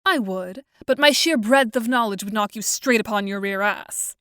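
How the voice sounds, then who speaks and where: A woman's voice: mid-range voice, a bit bright, slight background noise, lightly acted. A woman voicing a composed, wry, articulate teenage girl in an audio drama, with formal, dry delivery. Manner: formal, dry, measured wording. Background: music.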